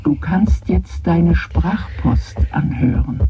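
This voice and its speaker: A calm, higher-pitched male ship computer in a sci-fi radio play. It speaks extremely slowly and soothingly, over clear noise and clicks. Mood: calm, instructing. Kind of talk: German science fiction radio drama.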